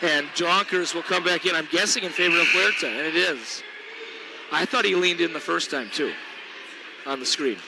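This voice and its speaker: A man calls a high school basketball game in a high, gravelly voice. His voice sounds tired and worn as the night goes on. Crowd murmur and bouncing balls fill the noisy gym behind him. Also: normal speed. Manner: energetic, reads sponsor ads. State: voice weakening. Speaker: play-by-play announcer. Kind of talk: local sports commentary. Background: gym ambience, bouncing balls.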